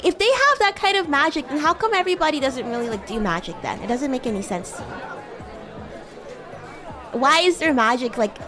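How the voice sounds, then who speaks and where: Woman as young female demon student: bratty, high, very animated, improvised stream roleplay over music. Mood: puzzled, animated. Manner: teasing, giggly, animated, halting and questioning. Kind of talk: improvised in-character livestream roleplay chat. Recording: music and crowd murmur behind. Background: music and crowd ambience.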